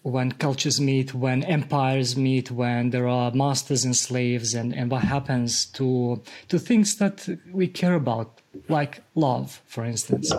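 A man from Bosnia hosts a conversational podcast interview, searching for words with frequent pauses. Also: medium pitch, fairly even intonation, darkish tone. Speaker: podcast host.

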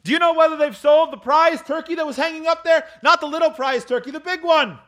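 A man's voice: fairly high pitch, quick pace, fairly bright tone, pitched above the performer's own voice, natural voice. A man voicing a reformed old miser in a dramatic storybook reading, exclaiming fervently with emphatic repetitions. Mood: gleeful excitement. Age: older. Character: reformed old miser, frantic, pleading, then childishly joyful. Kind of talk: dramatic audiobook character reading.

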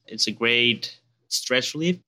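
A man in his late twenties with a Spanish and Venezuelan accent, a relaxed guest chatting casually outdoors. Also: mid-range voice, slow. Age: 20s. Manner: laid-back, relaxed and chatty, calls people man.

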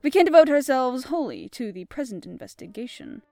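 A woman reading aloud and voicing a precise, formal older male detective with a deliberate manner and a tried French accent. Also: high-pitched, wildly swooping pitch, gravelly, dark tone, no room sound, pitched above the performer's own voice, heavily acted.

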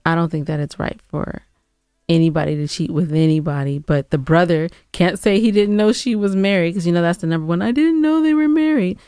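Earnest low-voiced woman co-hosting an advice show. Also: fairly quick, mellow, smooth, dry recording, studio quality. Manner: earnest, opinionated, spells out moral points. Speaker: podcast co-host giving advice. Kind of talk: casual advice discussion with co-host.

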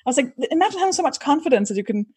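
A woman's voice: high-pitched, very animated, rapid-fire, a little mellow, dry recording. Chatty woman host in a dry recording. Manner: chatty, trails off and restarts, laughs often. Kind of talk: casual conversation.

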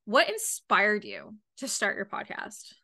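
A woman's voice: mid-range voice, wildly swooping pitch, a little slow, creaky, pushed and bright. A woman hosting a podcast, chatting playfully and laughing with her guest. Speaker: podcast host. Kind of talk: casual interview chat.

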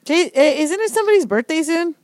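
A woman's voice: extremely high pitch, animated, fast, darkish tone, no room sound, clean studio sound. A woman co-hosting a podcast with casual, bantering talk. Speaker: podcast co-host.

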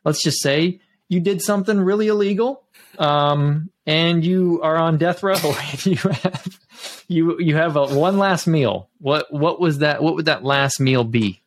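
A man's voice: on the high side, a little slow. Host, male, easygoing, rambling questions, frequent laughs. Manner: chatty, laughs often, rambling. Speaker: podcast host. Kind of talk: casual podcast chat.

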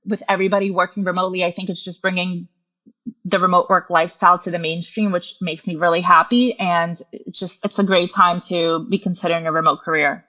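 A young woman with a lowish yet bright, forceful voice tells her story to a podcast host, easygoing and sincere, now and then pausing on an "um." Her audio comes through muffled and lo-fi, like a remote call. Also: little pitch movement. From Russia. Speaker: guest. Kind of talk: conversational podcast interview.

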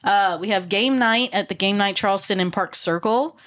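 A woman's voice: medium pitch, normal speed, a bit bright. A woman co-hosting a casual podcast, reading out local events with lots of fillers and easy laughter. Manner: upbeat, laughs easily, filler-heavy.

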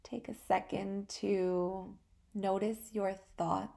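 A woman hosting a guided relaxation meditation, speaking calmly and soothingly in short phrases with long pauses. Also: mid-range voice, vocal fry. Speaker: guided meditation podcast host.

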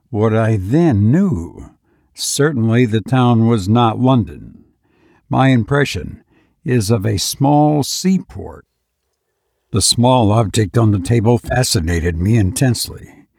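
A man speaks as the host and reader of a horror podcast, in a close, dry recording. His delivery is slow and deliberate, with measured phrasing. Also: medium pitch, expressive, gravelly, darkish tone, no echo. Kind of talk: slow intimate horror narration and host talk.

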